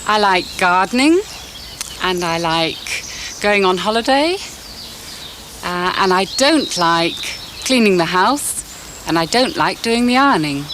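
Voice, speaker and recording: A woman's voice: lowish voice, expressive, unhurried, background noise. A woman, the mother of the family, talking casually and thoughtfully about her likes and dislikes. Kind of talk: casual talk about herself.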